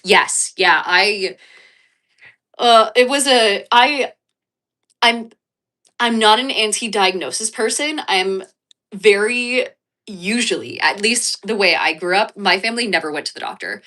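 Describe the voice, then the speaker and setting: A woman's voice: medium pitch, lively intonation, unhurried, sharp tone. An American woman livestreaming and chatting with her viewers, rambling and swearing casually, with a sniffly, congested voice. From United States. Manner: rambling, swears freely, many fillers and restarts. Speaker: livestreamer reading chat. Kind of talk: casual chat.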